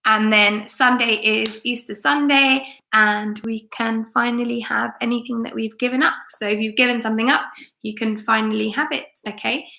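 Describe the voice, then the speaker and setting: A woman with a bright, fairly high voice speaks in an echoey room. She is a teacher running a live story time for children, chatting directly to them in an expressive, encouraging way. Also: moderate pace, gravelly.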